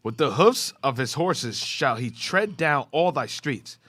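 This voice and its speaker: Bright, lively man reading scripture. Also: mid-range voice, unhurried. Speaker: scripture reader in a class.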